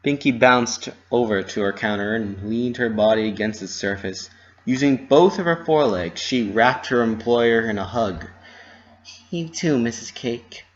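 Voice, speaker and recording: A man's voice: on the low side, moderate pace, slight background noise. A man giving a dramatic scripted reading of a fan fiction story as its narrator. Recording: muffled lo-fi home recording.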